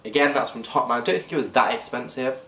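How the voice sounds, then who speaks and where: A man's voice: mid-range voice, steady tone, quick pace, pushed and bright, recorded from a distance, a little hiss or hum. A young man with a slightly blocked, nasal voice, chatting casually to camera in a clothing haul vlog. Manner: chatty, talks to camera while holding up clothes. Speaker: fashion vlogger. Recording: echoey room, voice off-mic.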